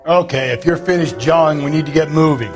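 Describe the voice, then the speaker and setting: Male, actor playing testy detective in a game. Gruff, sarcastic, somewhat high voice, faint music behind. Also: a little fast, lightly acted. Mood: impatient. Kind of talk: crime game acting.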